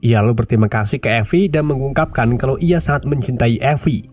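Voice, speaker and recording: A man's voice: mid-range voice, fast, darkish tone, faint music behind. A man narrating a film recap in a casual scripted style. His voice is stuffy and nasal from a cold.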